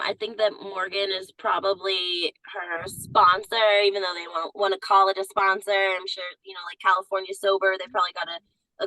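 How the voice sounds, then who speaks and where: A woman's voice: medium pitch, sharp tone, no echo, rough recording. A woman chatting casually, giving blunt opinions and saying "like" a lot, with a Southern accent that comes out at times. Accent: Southern US, surfaces at times. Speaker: guest commentator. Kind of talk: casual chat.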